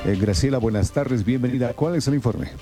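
A man with a Bolivian accent anchoring a radio news bulletin in a formal, measured way, reading items and handing over to reports. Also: medium pitch, mellow, soft background music.

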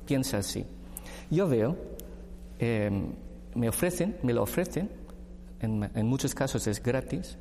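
A man from the Philippines speaks with a Filipino accent in a language that is not his mother tongue, laying out his argument with care. His voice is mellow and relaxed. The room rings with a long reverb over a faint hiss. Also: mid-range voice, a little slow, pauses often. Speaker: panel guest. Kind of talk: discussion panel.

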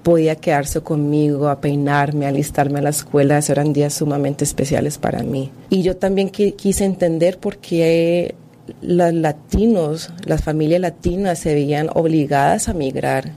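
A Colombian-born woman, a historian and author, answering interview questions in an earnest, measured way with frequent restarts. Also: deep voice, fairly even intonation, mellow, slight background noise.

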